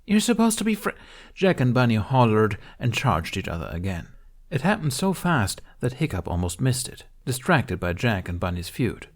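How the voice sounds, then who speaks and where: A man's voice: lowish voice, big pitch swings, normal speed. A man reading scripted fantasy fiction aloud as a narrator. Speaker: fan fiction reader.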